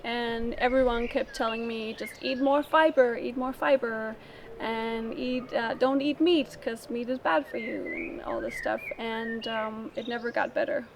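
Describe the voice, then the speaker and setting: Interviewee, woman from Denmark, slightly high voice; casual, halting storytelling; noisy outdoor take. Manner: halting, thinks aloud.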